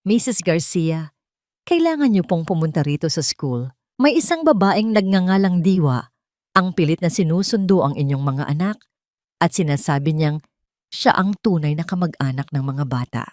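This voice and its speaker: A woman narrator plays a school staffer in an audio drama. The delivery is polite and urgently concerned, in a lowish voice and dry recording. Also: lively intonation, normal speed, a little mellow, dry recording, lightly acted.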